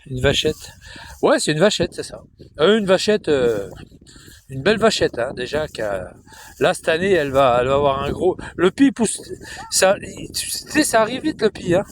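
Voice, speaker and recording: A man's voice: sing-song, moderate pace, background noise. A man talking casually outdoors in a cow pasture, rambling and repetitive, cooing to the cows now and then while filming on his phone. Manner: chatty, repetitive, coos to the cows in a high sing-song voice. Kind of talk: casual rambling talk to camera.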